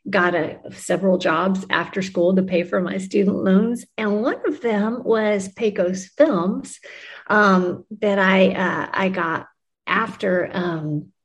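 Chatty woman guest from New Mexico. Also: mid-range voice. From New Mexico, USA. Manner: chatty, with frequent ums and laughs. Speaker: podcast guest, music publicist. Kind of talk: casual conversational interview.

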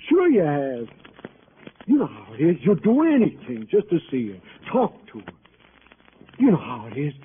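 Casting: cornered American suspect, wheedling and defensive, very animated delivery, tinny old radio sound. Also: male voice, on the high side, normal speed, pauses often. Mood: wheedling, pleading. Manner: pleading, evasive, stammering. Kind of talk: 1950s radio crime drama acting. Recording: tinny, noisy vintage radio recording.

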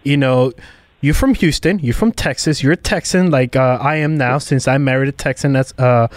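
A man interviewing a guest as a podcast host, asking casual, halting questions that tend to ramble. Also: mid-range voice, slight background noise.

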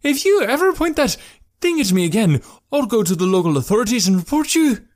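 A man's voice: high voice, big pitch swings, brisk, pitched above the performer's own voice. A man voicing a panicky, indignant male traveller in a story, easily flustered and prone to stammering.

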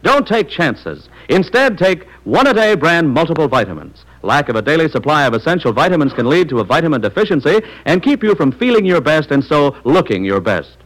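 An American man reading an old-time radio commercial as announcer, in an emphatic, deliberate sales delivery. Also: a little high, sing-song, fairly bright tone, poor audio.

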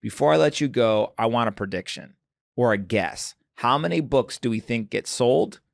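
A man's voice: medium pitch, lively intonation, normal speed, creaky, dry recording, clean studio sound. A man in his forties hosting a podcast, talking loosely with slang and jokes. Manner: loose, joking, informal slang. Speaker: podcast host. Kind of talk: casual conversational interview.

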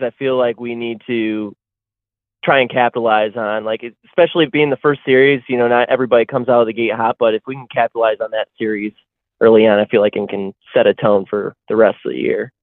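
A man's voice: medium pitch, fairly even intonation, fairly quick, dry recording. A young man calling in as a guest on a podcast, chatting loosely with lots of fillers. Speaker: guest athlete. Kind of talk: casual podcast interview. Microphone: phone call. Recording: tinny phone line.

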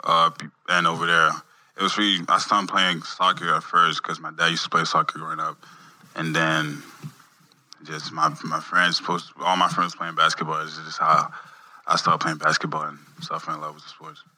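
A young man from Montreal with French as his first language speaks in a very deep voice that barely rises or falls. His tone is bright and forceful, yet his delivery is halting. He restarts phrases and repeats words as he goes. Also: flat delivery. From Montreal, Canada. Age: young adult. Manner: soft-spoken, halting, repeats words while searching for them. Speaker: guest athlete. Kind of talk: casual interview answers.

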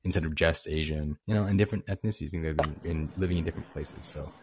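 A man hosting a casual audio chat room, talking quickly in a rambling, hedging way. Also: rumbling low, steady tone, noisy background.

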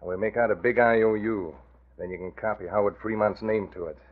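A man's voice: lowish voice, steady tone, fairly quick, close to an everyday voice. A man playing a smug, scheming crime boss with commanding, slangy talk in a 1940s radio drama. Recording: tinny old radio recording.

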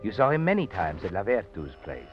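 A man's voice: on the low side, huge pitch swings, brisk, faint music behind. An actor playing an ominous, all-knowing narrator in old radio suspense, insinuating and dramatic as he addresses the hero. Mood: urgent, ominous. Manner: dramatic, insinuating, second-person telling. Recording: dull old radio recording, musical backing.

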